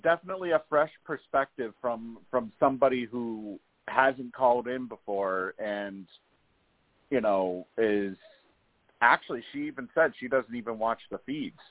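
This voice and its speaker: A man hosting a casual call-in show, talking in a halting way with many restarts. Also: medium pitch, no echo. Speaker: host. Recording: tinny phone line.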